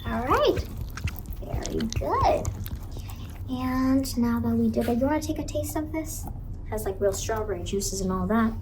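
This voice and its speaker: A woman playing a creepy, sweetly doting ice cream truck clown in a soft-spoken ASMR roleplay, coaxing and soothing, with whispers and repeated little phrases. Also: a little high, lively intonation, measured pace, noisy background. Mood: doting, playful.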